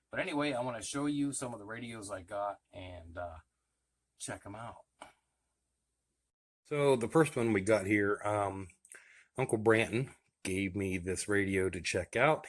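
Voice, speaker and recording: Low-pitched middle-aged man, casual vlog talk. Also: fairly bright tone, slight background noise. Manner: plain-spoken, informal. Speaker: vlogger showing his radios.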